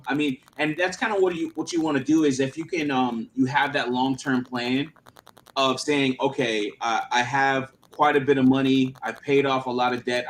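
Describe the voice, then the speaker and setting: A man's voice: mid-range voice, slight background noise, rough recording. A man in his thirties hosting a casual livestream chat, talking in a rambling way with lots of fillers and slang. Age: 30s. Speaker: channel host.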